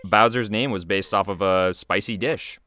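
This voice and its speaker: A man's voice: on the low side, normal speed, sharp tone. A young man giving casual, rambling commentary as an animator and voice actor, chatty and easily amused.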